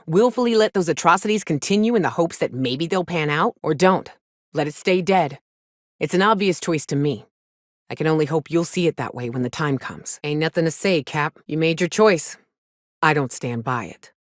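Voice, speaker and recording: A woman's voice: low-pitched, normal speed, no room sound, studio quality, lightly acted. A woman playing a weary, cynical but caring frontier woman in a video game, blunt and plainspoken with folksy, sweary talk. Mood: firm, urgent, then resigned, disapproving. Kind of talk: video game character dialogue.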